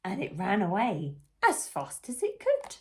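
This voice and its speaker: A woman reading a picture book aloud for young children in an animated, unhurried way, putting questions to the listener. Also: medium pitch. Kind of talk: expressive storybook read-aloud for young children.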